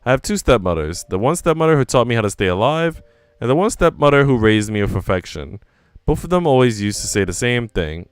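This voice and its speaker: A man's voice: medium pitch, big pitch swings, brisk, smooth, dry recording, close to an everyday voice. A man voicing an anxious young woman who narrates her troubles in a visual novel, dramatic and self-questioning. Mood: reflective. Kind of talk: visual novel first-person reading.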